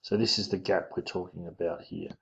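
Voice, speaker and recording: A man giving an informal physics lesson, talking through diagrams in a casual, halting way as he thinks aloud. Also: deep voice, steady tone, vocal fry, a bit bright. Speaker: physics teacher. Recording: dull lo-fi microphone sound.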